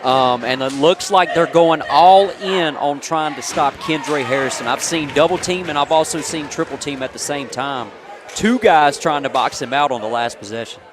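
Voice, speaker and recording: A man's voice: fairly high pitch, moderate pace. A man giving conversational color commentary at a live basketball game in an informal, folksy way. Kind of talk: conversational live sports analysis. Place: indoor high school gymnasium. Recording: live from noisy gym, crowd behind. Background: crowd and bouncing basketball.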